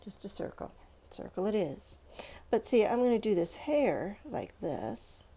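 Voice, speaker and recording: Woman, children's art teacher: low, very mellow voice with some gravel, informal, encouraging, talks through each step. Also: sing-song, unhurried. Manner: encouraging, thinking aloud, addressing young viewers with "let's" and check-ins. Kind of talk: step-by-step drawing lesson, informal and conversational.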